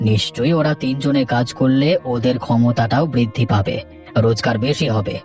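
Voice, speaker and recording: A man's voice: medium pitch, fairly quick, a little mellow, lightly acted. A man playing an earnest, firm village character in a cartoon, explaining things in a reasoning way. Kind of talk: animated cartoon dialogue. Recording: music bed behind the voice.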